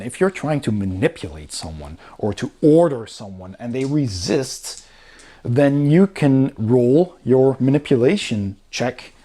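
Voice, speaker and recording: A man's voice: mid-range voice, dark tone. A man giving a casual, conversational review, explaining things step by step as a host. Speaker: board game reviewer and channel host.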